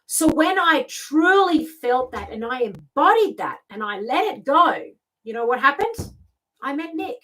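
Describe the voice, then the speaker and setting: A middle-aged Australian woman speaks informally to camera as a spiritual mentor and tarot reader. Her voice is fairly high and clearly bright, and she repeats phrases for emphasis. The microphone sits a little way off from her. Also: big pitch swings, unhurried. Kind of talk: informal spoken guidance to camera. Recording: distant microphone.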